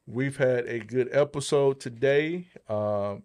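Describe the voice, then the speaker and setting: A man's voice: medium pitch, slow, darkish tone. A man co-hosting a podcast, giving a motivational talk and repeating key phrases for emphasis. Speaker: podcast host, motivational speaker.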